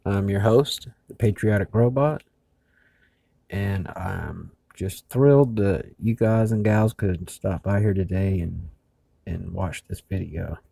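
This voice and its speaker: A man hosting a video in casual narration, speaking haltingly with labored speech after mouth surgery. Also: deep voice, vocal fry, dark tone, slightly rough, dry recording. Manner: halting, hesitant. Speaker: video host.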